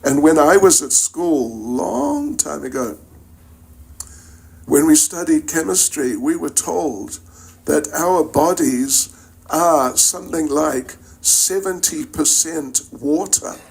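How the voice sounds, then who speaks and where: An older man from New Zealand, with that accent, lectures on spiritual matters in a husky, slightly high voice. He moves slowly and haltingly, reflecting as he goes and addressing his listeners conversationally. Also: animated. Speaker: spiritual teacher giving a lecture. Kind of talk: informal religious lecture to an audience.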